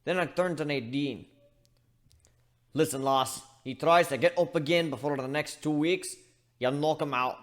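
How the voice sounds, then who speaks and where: A man voicing a gruff, grumbling pirate shipbuilder with rough colloquial speech, in a dramatic reading. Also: fairly high pitch, normal speed, natural voice. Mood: exasperated. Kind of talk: dramatic reading of fan fiction.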